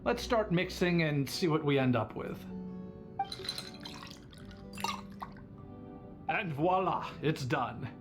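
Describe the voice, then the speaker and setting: A man's voice: on the high side, animated, unhurried, music in the background. A man voicing a polite, eager and slightly nervous young bartender in an anime game, courteous and hesitant. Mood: thoughtful.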